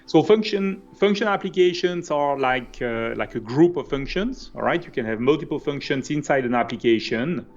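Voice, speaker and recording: A man with a Swiss French accent giving a conversational live coding walkthrough as a software developer. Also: fairly high pitch, expressive, normal speed, few pauses, muffled recording. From French-speaking Switzerland.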